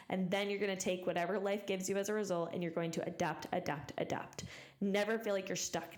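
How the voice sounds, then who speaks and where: A young woman in her twenties talks straight to her listeners in a motivational podcast, leaning on repeated words for emphasis. Her voice sits a little low and is still recovering after being lost. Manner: emphatic, repeats phrases for stress. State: recovering from voice loss. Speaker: podcast host.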